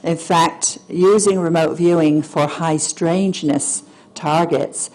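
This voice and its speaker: A soft-spoken woman giving a public lecture at a symposium, hesitating often with fillers and restarts. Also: deep voice, fairly even intonation, slow, rough recording. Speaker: guest speaker. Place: lecture hall.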